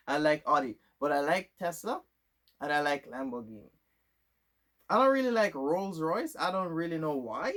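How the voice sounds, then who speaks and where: A man's voice: a little high, fairly bright tone. A young man from Jamaica, answering questions about himself in a loose, rambling vlog monologue full of fillers and restarts. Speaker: vlogger. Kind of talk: casual Q&A monologue.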